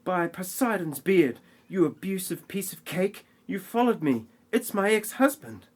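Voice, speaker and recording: A man acts out a bossy woman in a spoof audio play. The voice is thin, strained and high, pushed into exaggerated, urgent pleading. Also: animated, measured pace, darkish tone. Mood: indignant.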